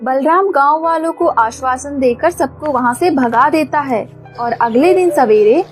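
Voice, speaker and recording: A woman's voice: high voice, measured pace, soft background music. A woman narrating a scripted cartoon story for children.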